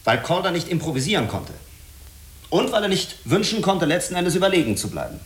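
An actor playing a stern, self-critical spaceship commander in a German radio drama, speaking firmly and explaining in measured phrases. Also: male voice, sits high, big pitch swings. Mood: reflective, insistent.

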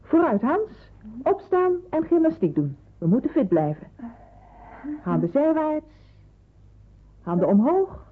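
An actress playing a practical nurse in wartime hiding in a radio drama, with a brisk, organising manner. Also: female voice, sits high, very animated. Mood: brisk, commanding. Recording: muffled, phone-like radio play recording.

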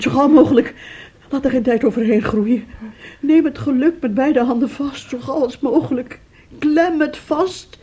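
An actress in a radio drama playing a distraught, tearful mother abandoned by her daughter, speaking haltingly and repeating her words. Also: high-pitched, mellow, breathy edge. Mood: urgent, resigned.

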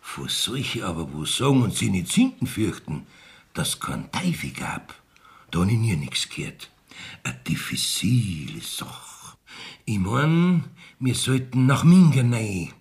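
An actor playing a shifty, blustering village judge in a radio play, speaking southern German dialect with a gravelly, husky voice. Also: male voice, mid-range voice, a bit bright, heavily acted. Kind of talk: 1970s radio drama acting in dialect.

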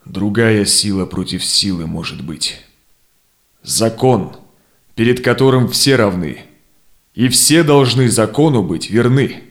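An actor playing a steadfast, conciliatory Cossack envoy in a verse audio drama, speaking in measured, persuasive declamation. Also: male voice, on the low side, animated. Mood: sincere, firm. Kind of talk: theatrical verse drama acting.

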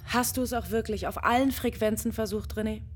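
A woman's voice: on the high side, a little fast, a little hiss or hum, close to an everyday voice. An actress playing a female astronaut on a lonely space mission, composed and focused in a radio drama. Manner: focused and composed, sometimes shaken. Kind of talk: German radio drama acting.

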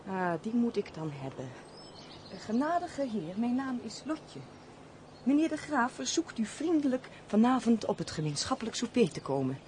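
An actress playing a pert, haughty castle servant in a radio play, chatty and sharp-tongued in a theatrical way. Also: female voice, a little high, big pitch swings, moderate pace, slight background noise. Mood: polite, insistent. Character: Lotje, pert, haughty female castle servant, easily offended. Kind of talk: Dutch radio play acting.

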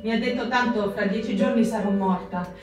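A woman's voice: a little high, brisk, natural voice. An actress playing a sorrowful woman camp inmate, giving stark testimony in a theatrical reading. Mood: sombre. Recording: echoey, distant pickup under loud guitar.

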